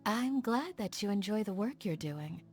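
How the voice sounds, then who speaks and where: A woman voicing a kindly, protective bodyguard in a video game, calm, considerate and reassuring. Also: mid-range voice, moderate pace, soft background music, natural voice. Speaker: game character voice actor. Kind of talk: voiced game dialogue.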